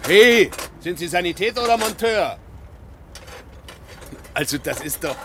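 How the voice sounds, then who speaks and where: A man's voice: high voice, expressive, unhurried, background noise. A man playing an authoritative, indignant doctor in a radio play, speaking emphatically and commandingly. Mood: concerned, brisk. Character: indignant, authoritative male doctor among plane passengers. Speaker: radio drama actor. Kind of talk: German radio play acting.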